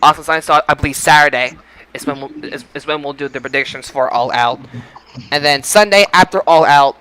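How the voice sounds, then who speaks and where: A man with a bright, clear voice hosts a casual podcast. His pitch sits a little high, and he talks haltingly, often doubling back to repeat a phrase. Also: low-quality recording. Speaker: podcast host. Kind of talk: casual podcast sign-off talk.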